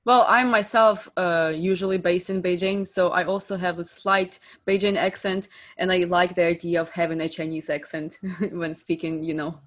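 A woman conducts an interview with polite, measured questions. Her voice sits a little low for a woman. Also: level tone.

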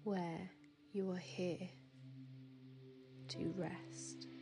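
A woman guiding a sleep meditation in a soothing, hushed manner, with soft breathy phrase endings and drawn-out pauses. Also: on the low side, flat delivery, background noise. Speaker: meditation guide. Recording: piano music and waves behind. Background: relaxing piano music and ocean waves.

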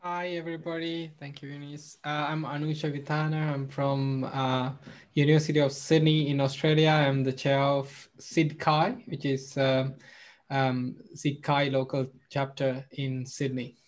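A woman's voice: low voice, little pitch movement, measured pace. A woman who chairs a local chapter, introducing herself in an online meeting in a matter-of-fact way. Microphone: video call.

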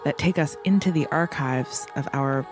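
A woman hosting a podcast, reading a scripted trailer in a measured announcing manner. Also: deep voice. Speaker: podcast host. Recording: narration over music.

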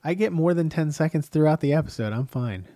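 Joking man with a mellow voice. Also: fairly high pitch, lively intonation, a little fast, no room sound, clean studio sound. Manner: joking, teasing. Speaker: podcast co-host. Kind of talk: casual chat.

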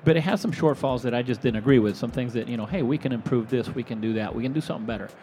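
Rambling, animated man from Venezuela. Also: medium pitch, racing, a little hiss or hum. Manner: rambling, animated, acts out quoted voices. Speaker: guest, product manufacturer. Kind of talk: casual interview storytelling. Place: live stage at a trade expo.

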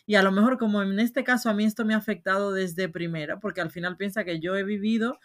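A woman's voice: medium pitch, fairly even intonation, fast. A woman from Spain with a Spanish accent mixed with Dominican speech, co-hosting a casual podcast chat and telling stories with animation. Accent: Spanish with Dominican mix. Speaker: podcast co-host.

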